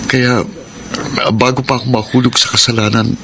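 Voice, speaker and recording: A man's voice: mid-range voice, flat delivery, normal speed, background noise, natural voice. A man acting as a faithful young husband in a radio drama, earnest and polite.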